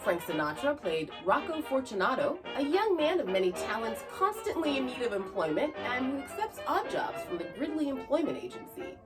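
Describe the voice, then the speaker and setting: A woman's voice: sits high, very animated, fairly quick, hardly any pauses. A woman hosting a podcast, reading scripted narration with chatty asides. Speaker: podcast host. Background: music.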